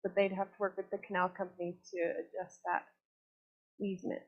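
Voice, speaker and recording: Female planning presenter, mid-pitched, procedural delivery, dull meeting recording. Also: little pitch movement, brisk. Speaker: city planning staff presenter. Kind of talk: presenting recommendations at a public meeting.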